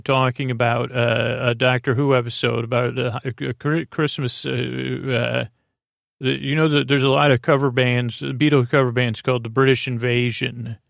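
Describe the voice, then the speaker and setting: A man with a gravelly, fry-heavy voice hosts a bedtime podcast in a close, dry recording. He speaks in an improvised ramble at a middling pitch. His sentences halt, double back and wander off into tangents. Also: no echo. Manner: meandering, halting, self-interrupting, trails off into tangents. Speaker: podcast host.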